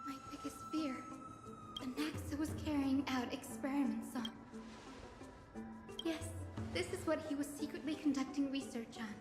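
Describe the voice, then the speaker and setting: A woman's voice: high voice, normal speed, pauses often, natural voice. An actress playing an earnest young woman in a dramatic game, thoughtfully piecing together a mystery. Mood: alarmed, pondering. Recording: echoing reverb, faint music.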